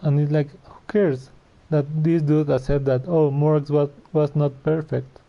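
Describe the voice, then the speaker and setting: A man reacts casually to a video game at a slow, halting pace. His fairly high, bright voice comes through a slightly muffled mic, with game music underneath. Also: little pitch movement, gravelly. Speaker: game streamer. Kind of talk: casual gameplay commentary.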